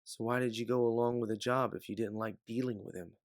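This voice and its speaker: A man's voice: mid-range voice, fairly even intonation, fairly quick, dry recording, clean studio sound, natural voice. A man playing an emotional bounty hunter in their late twenties in an audio drama, with an intense, pleading manner. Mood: hurt. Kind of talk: dramatic audio fiction acting.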